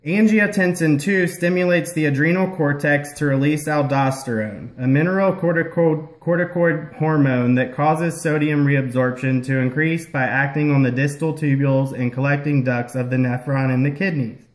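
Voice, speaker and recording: A man's voice: a little high, normal speed, hardly any pauses, close to an everyday voice. A man playing a patient, explanatory health-care puppet in a scripted educational show. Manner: explanatory, instructional. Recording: roomy echo.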